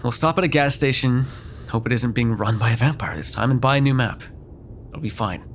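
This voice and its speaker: A man's voice: medium pitch, fairly quick, a little hiss or hum, close to an everyday voice. A man playing a sardonic, grudgingly apologetic vampire in an immersive roleplay, talking in a wry, hesitant, conversational way with some swearing. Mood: exasperated, uneasy. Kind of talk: immersive ASMR roleplay acting, one side of a dialogue.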